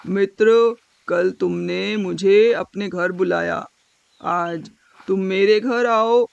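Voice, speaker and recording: A woman voicing a calm, wise, dignified male stork with a polite, measured and gentle manner in an animal fable. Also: mid-range voice, vocal fry, clean tone, dry recording, lo-fi sound.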